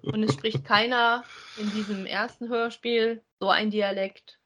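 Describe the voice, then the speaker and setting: A woman's voice: mid-range voice, level tone, a little slow, fairly bright tone. A woman co-hosting a podcast, talking casually and conversationally as she reviews audio dramas with a partner. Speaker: podcast co-host.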